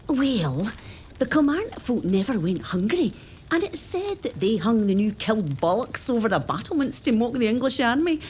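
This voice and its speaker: An older Scottish nursemaid, motherly and confiding, played by a woman. She tells her tales with a lively, rising and falling voice, dropping low and hushed. Faint noise sits under the voice. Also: normal speed.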